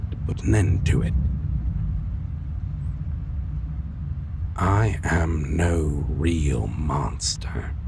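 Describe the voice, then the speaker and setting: A man playing a brooding, merciful yet menacing vampire lord in a dark fantasy audio roleplay, speaking formally and deliberately in half-whispered phrases. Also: rumbling low, vocal fry, noisy background, heavily acted. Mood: solemn, proud.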